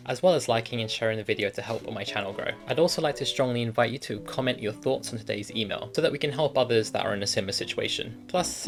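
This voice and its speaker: A man's voice: on the low side, gravelly. A young man who hosts an advice show, reading out a viewer email and then chatting casually about it, laughing easily and restarting his sentences often.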